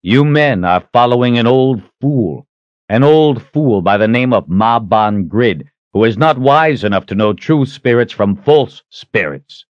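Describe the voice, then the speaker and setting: A man's voice: mid-range voice, animated, measured pace, no echo, dull audio, voice pushed up, close to an everyday voice. A man voicing a cold, defiant fantasy hero in an audiobook, taunting and intense. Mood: scornful challenge. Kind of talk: dramatic audiobook character acting.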